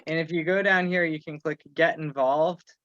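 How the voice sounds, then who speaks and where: A man hosting an informal online meeting, thinking aloud in a halting way as he organizes things. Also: fairly high pitch, fairly even intonation, no echo. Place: online conference call. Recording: muffled video call audio.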